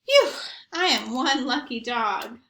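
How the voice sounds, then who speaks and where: A woman voicing an eager, naive, mischievous young dog telling his own picture-book story in an expressive, earnest way. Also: high voice, slow pace, reverberant, full character voice. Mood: relieved. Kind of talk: first-person picture book narration.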